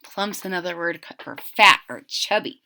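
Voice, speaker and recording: Animated woman reading to young children. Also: on the low side, measured pace, gravelly. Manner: expressive, explanatory. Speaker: storybook reader. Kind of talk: read-aloud for young children, with simple explanations.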